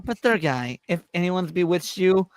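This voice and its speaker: A woman's voice: on the low side, lively intonation, normal speed, no echo, lightly acted. A woman voicing a smug, scheming medieval sheriff in a comedic script read, with an arch, insinuating manner. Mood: accusing.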